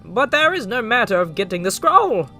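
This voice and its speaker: An actor playing a timid, gentle toy lord, a jack-in-the-box, in a polite, hesitant, theatrical manner for an audio drama. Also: medium pitch, very animated, moderate pace, soft background music. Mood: gloomy, wary. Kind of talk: amateur audio drama acting.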